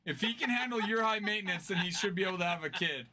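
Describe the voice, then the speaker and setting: Bright, high-voiced joking man. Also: fast, a little hiss or hum. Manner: joking, laughs often. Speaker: card break host. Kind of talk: casual livestream banter.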